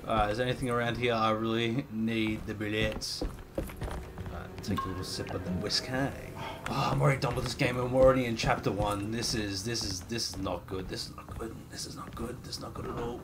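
A young man streaming a horror game, chatting casually and reacting in a jumpy, excitable way, muttering to himself. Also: on the low side, brisk, noisy background. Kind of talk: casual gameplay commentary. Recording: game music playing behind voice.

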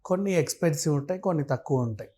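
A man's voice: fairly high pitch, very fast, warm tone. A man with a Telugu accent talking casually and explaining things through everyday examples and lists, mixing in English words.

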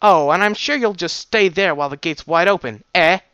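Man playing a feisty pony guard mare, shrill and scornful, shouting down from a tower; storybook reading. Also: sing-song, a little slow, creaky, dry recording, lo-fi sound, exaggerated voice.

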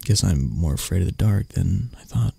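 A man playing an arrogant, prickly CEO boss who turns vulnerable and flirty, in an intimate, halting ASMR roleplay that often drops toward a whisper. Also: low voice, expressive, vocal fry, warm tone, husky, no room sound, full character voice. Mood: bitter, vulnerable.

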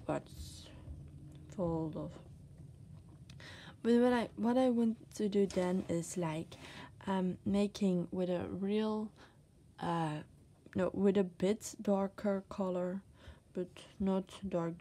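A woman with a Dutch accent, a native Dutch speaker, giving a casual drawing tutorial as she works, soft-spoken and hesitant, searching for words between many fillers. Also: fairly low pitch. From Netherlands. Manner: soft-spoken, often drops to a whisper. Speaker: art tutor. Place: desk, indoors.

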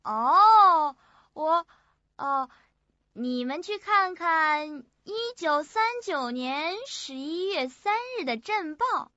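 A woman's voice: high-pitched, lively intonation, slow, bright, forceful tone, no room sound, lo-fi sound, exaggerated voice. An actress playing a deranged, hysterical woman who seems possessed, in a radio drama. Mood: eerie, possessed.

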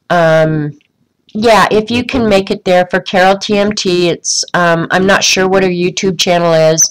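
A woman's voice: lowish voice, clean tone, poor audio. A woman with a stuffy, congested voice chatting casually to viewers on a live craft stream, rambling with many ums. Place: indoors at a craft desk.

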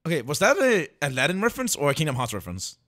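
A streamer giving casual, excitable live reaction commentary, often repeating phrases. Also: mid-range voice, racing. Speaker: livestream host reacting to videos. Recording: faint music and video audio behind.

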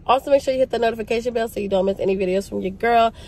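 A woman's voice: fairly high pitch, very fast, a little mellow. A young woman talks into a phone held at arm's length inside her parked car. Her voice is congested from a cold. She rambles in a chatty, casual way, full of slang. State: sick, congested voice. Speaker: vlogger. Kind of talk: casual chat to camera. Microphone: phone camera at arm's length.

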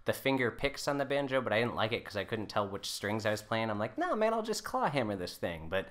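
A man's voice: fairly low pitch, very animated, quick pace, a bit bright. A man in his thirties chatting casually as a musician guest, informal and self-deprecating. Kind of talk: casual chat.

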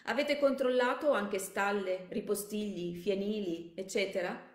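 A woman's voice: mid-range voice, measured pace, close to an everyday voice. A woman voicing a stern, suspicious male military officer in a story, with commanding questions. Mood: impatient, commanding. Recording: echoey room.

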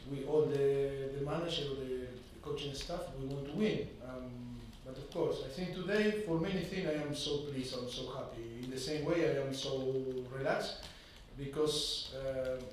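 Halting football manager, echoey room. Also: male voice, medium pitch, slight background noise. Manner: measured, halting second-language English with many fillers. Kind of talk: post-match press conference. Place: press conference room. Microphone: desk microphone. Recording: echoey room, mic at a distance.

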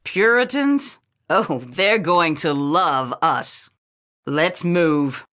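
An actress playing a tough, no-nonsense vigilante in a video game, terse and dry. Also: female voice, fairly low pitch, lively intonation, very slow, a bit bright, clean tone, full character voice. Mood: determined, dry.

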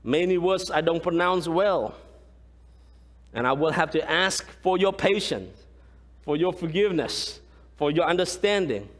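A man in his thirties, a pastor from Cambodia with a Khmer accent, preaching a lively sermon and often asking the congregation "right?". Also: on the high side, unhurried, pauses often. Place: large church sanctuary, indoors. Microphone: far camera, room pickup. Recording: long church hall reverb.

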